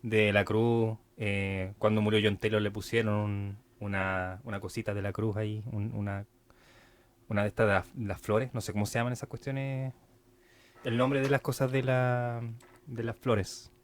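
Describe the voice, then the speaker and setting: A man's voice: lowish voice, level tone, normal speed. A young man with a Chilean accent co-hosting a podcast, talking casually and loosely with slang and lots of fillers. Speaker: podcast co-host.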